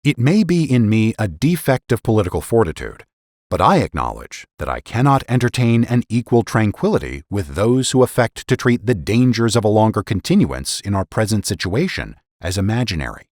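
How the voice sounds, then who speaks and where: A man with a low-pitched voice reads a historical political essay aloud as a podcast narrator. His delivery is formal and measured, in an oratorical style with wide, expressive swings in intonation. The sound is dry and close. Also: no echo.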